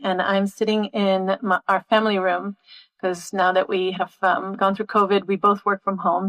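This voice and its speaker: A woman's voice: medium pitch, fairly bright tone, dry recording, poor audio. Interview guest, female, middle-aged, mid-range voice, casual conversational delivery, dry home-office sound. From Switzerland and Italy. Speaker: podcast guest, futurist. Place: home office in a family room.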